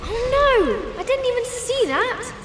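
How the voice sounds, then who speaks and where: Little girl, very high voice, headstrong, competitive, animated, game character, roomy echo. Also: unhurried, full character voice. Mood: frustrated. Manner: eager, stubborn, emphatic. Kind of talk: video game voice acting. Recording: roomy echo under loud music.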